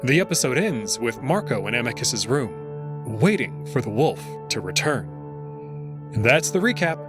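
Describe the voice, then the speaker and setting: A man narrating a visual novel read-through as its host, in an expressive, scripted storytelling style. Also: medium pitch, unhurried. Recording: music behind the voice. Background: background music.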